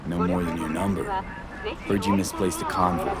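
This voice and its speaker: A man's voice: deep voice, fairly even intonation, moderate pace, over a noisy crowd, heavy background noise, close to an everyday voice. A man acting as a wary, street-hardened mercenary in a video game, talking in blunt, guarded phrases. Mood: terse, guarded.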